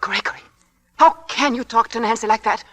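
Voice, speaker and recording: A woman's voice: fairly high pitch, brisk, a bit bright, lightly acted. A woman playing a timid, anxious young Victorian wife in 1940s radio style, hesitant and apologetic. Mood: hurt protest.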